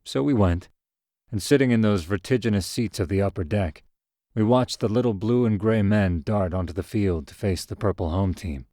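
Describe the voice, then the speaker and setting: A man with a very low, deep voice reads a short story in the first person. His pacing is calm and measured. The close studio recording is dry, with no room sound. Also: dry recording. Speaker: narrator. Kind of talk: scripted narration of a short story.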